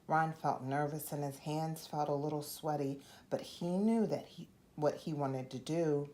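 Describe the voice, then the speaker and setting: A woman with a fairly low voice narrates a picture book. Her delivery is expressive and very lively in pitch, as a storyteller who plays out each part. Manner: animated, acts out the dialogue. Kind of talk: expressive read-aloud narration.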